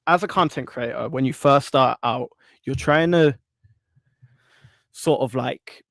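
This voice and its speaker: A man's voice: mid-range voice, no room sound. A man co-hosting a casual livestream chat, earnest and reasoning, talking directly to viewers. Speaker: co-host.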